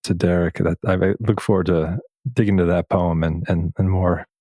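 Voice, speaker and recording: A man hosting a podcast interview in a conversational way, appreciative and gentle, feeling his way through his phrasing. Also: sits low, little pitch movement, moderate pace, dry recording. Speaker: podcast host.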